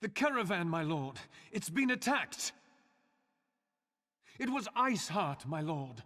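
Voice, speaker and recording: A man's voice: high-pitched, sing-song, measured pace, sharp tone, heavily acted. A man acting a role in a scripted fantasy video game cutscene. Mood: alarmed. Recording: long reverberant hall echo.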